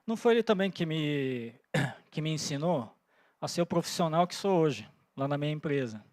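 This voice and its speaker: Man, forties, pastor, fairly high voice, earnest sermon, dry close sound. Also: animated, a little mellow, dry recording. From Japan. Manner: earnest, pausing often with "né?" and thinking aloud. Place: church.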